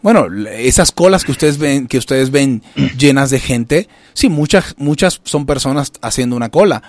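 A middle-aged man from Venezuela hosting a casual talk radio discussion, often restarting and filling pauses as he thinks. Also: mid-range voice, no echo. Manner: halting, many restarts and fillers. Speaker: radio show host.

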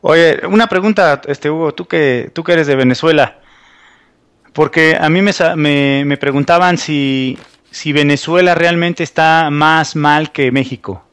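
A man's voice: a little high, measured pace, fairly bright tone, clean tone, dry recording. A man on a talk radio show asking questions in a hesitant way, searching for words. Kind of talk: casual talk radio discussion.

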